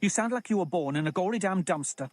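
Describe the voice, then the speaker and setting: A man voicing a prim, fastidious tutor of manners who scolds precisely and fussily. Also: sits high, expressive, dry recording, pitched above the performer's own voice. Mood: scolding.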